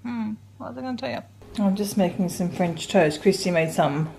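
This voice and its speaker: An Australian woman in her forties chatting through a daily home vlog, rambling with soft ums and sounding a little congested. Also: mid-range voice, lively intonation, normal speed, gravelly, slight background noise. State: congested, getting a cold. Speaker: vlogger. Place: living room couch at home. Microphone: handheld camera held close.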